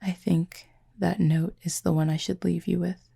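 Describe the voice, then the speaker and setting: Low, creaky, husky cupid played by a woman. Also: little pitch movement, full character voice. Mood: wistful, warm. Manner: measured, formal, soft-spoken with breathy pauses. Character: gentle, formal, otherworldly wounded cupid, composed and wistful. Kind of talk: intimate audio roleplay monologue.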